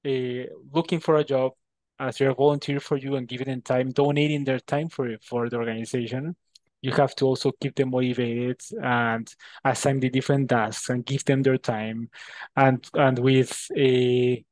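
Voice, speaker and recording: Peruvian man, halting conversational delivery. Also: medium pitch, little pitch movement, a little mellow, no room sound. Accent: Peruvian Spanish. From Peru. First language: Spanish. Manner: halting, often repeats words. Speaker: interview guest. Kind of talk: conversational interview answers.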